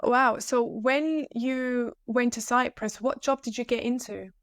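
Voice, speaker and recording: Bright, smooth-voiced woman podcast host. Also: on the high side, fairly even intonation, measured pace, no room sound, studio quality. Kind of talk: casual interview.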